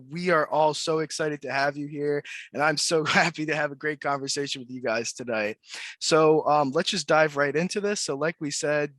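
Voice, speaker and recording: A man hosting an online panel webinar, introducing guests in a relaxed, friendly way. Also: medium pitch, no echo. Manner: friendly, relaxed, repeats thanks. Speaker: webinar host. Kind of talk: casual panel moderation.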